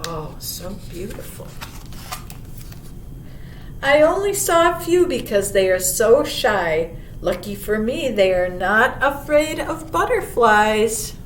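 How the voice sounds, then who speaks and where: A woman voicing a gentle, wise old male monarch butterfly in a storybook, telling a child of travels with unhurried wonder. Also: sing-song. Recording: roomy, distant mic, faint rumbling noise.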